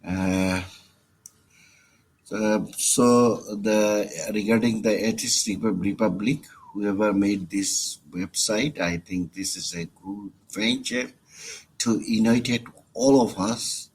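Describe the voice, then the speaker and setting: A man with a Bangladeshi accent leaving a personal voicemail message, with halting, hesitant phrasing. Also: on the low side, steady tone, darkish tone. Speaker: caller. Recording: noisy voicemail recording.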